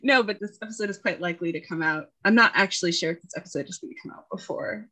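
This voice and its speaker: A woman's voice: fairly low pitch, fast, bright, forceful tone. A woman co-hosting a podcast in a casual, chatty style. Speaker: podcast co-host and producer. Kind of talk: casual podcast chat and sign-off.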